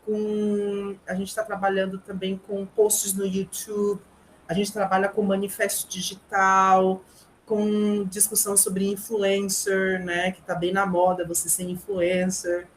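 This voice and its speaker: A woman's voice: medium pitch, monotone, unhurried, a little hiss or hum. A middle-aged woman with a Brazilian Portuguese accent, a language teacher answering interview questions earnestly, with frequent tag questions and small restarts. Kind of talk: explanatory interview talk.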